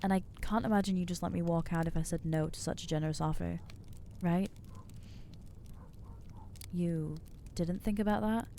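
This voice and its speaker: A woman playing an anxious, newly turned vampire in an ASMR roleplay, speaking in a hesitant, earnest, halting way with gentle inner conflict. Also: on the low side, steady tone, gravelly, a little hiss or hum. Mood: hesitant, resolving. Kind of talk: ASMR roleplay acting, intimate monologue.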